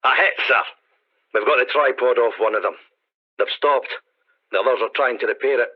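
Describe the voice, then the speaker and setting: Man playing gun crew observer, mid-pitched, terse, deferential, anxious, slightly muffled radio-drama sound. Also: brisk, close to an everyday voice. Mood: alert. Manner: terse, deferential military reporting. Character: artillery observer reporting to his officer, dutiful, anxious. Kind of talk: radio play acting. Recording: dull radio-style sound.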